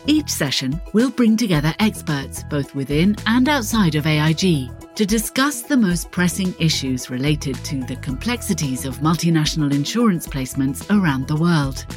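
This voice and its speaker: A woman's voice: low voice, expressive, moderate pace, few pauses, faint music behind. A woman announcing a podcast introduction in a polished, scripted delivery.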